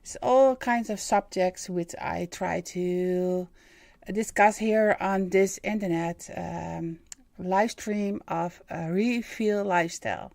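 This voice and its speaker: A woman in her fifties with a Dutch accent, hosting a livestream in halting English and pausing often to search for words. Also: lowish voice, gravelly, darkish tone, dry recording. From Netherlands. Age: 50s. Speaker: livestream host and medium. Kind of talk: hesitant livestream monologue.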